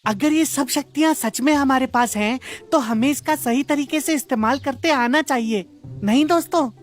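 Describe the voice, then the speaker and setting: A woman playing an earnest young boy hero with magic powers in a cartoon story, speaking in clear, deliberate sentences. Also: high voice, soft background music, natural voice. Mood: earnest, resolute.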